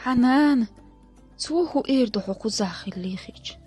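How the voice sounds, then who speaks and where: Woman voicing husky, unhurried grown lioness. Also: fairly high pitch, lively intonation, darkish tone, no room sound, faint music behind. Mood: earnest. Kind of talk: animated film dubbing.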